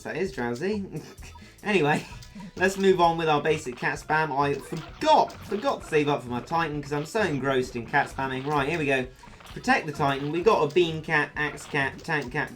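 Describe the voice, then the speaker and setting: A man talks through a mobile game with a chatty, self-mocking humor and a slightly hoarse voice. His tone is bright and his pitch leaps around animatedly, and faint music sits behind him. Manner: chatty, upbeat, self-deprecating jokes. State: voice going hoarse. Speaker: gaming YouTuber. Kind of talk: let's play commentary.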